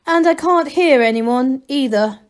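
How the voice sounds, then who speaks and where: A woman voicing an ancient gnome abbot in a storybook reading, smooth, condescending and mockingly kind. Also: high-pitched, unhurried, voice pushed up. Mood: smug, dismissive. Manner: smooth, condescending, mock-kindly.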